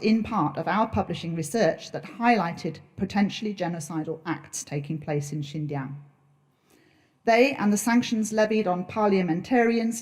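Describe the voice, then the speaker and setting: A woman who organised the conference, delivering formal prepared opening remarks in a measured way. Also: fairly low pitch, darkish tone.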